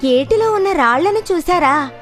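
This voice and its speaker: A scheming crocodile wife from a kids' cartoon, pitched extremely high. The delivery is theatrical and insistent, with music playing behind it. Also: female voice, expressive, moderate pace. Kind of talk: children's cartoon voice acting.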